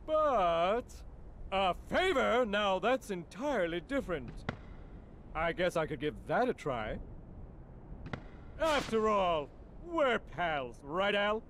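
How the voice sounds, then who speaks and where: An actor playing a big, warm-hearted, playful genie in a video game, with a theatrical, chummy delivery. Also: male voice, high-pitched, big pitch swings, takes it slowly, long pauses, slight background noise, exaggerated voice. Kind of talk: video game cutscene acting.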